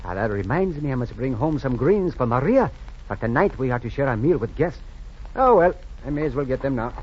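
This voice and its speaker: A man's voice: medium pitch, expressive, brisk, a little mellow, breathy edge. An actor playing a proud, weary, grumbling Mexican horseman with a Spanish accent, in theatrical 1940s radio style. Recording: muffled 1940s radio recording.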